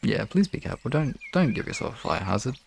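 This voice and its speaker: A young man chatting casually on a livestream in a rambling way, sometimes slipping into soft baby talk. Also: mid-range voice, sing-song, fast talker, creaky, slight background noise. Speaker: live streamer.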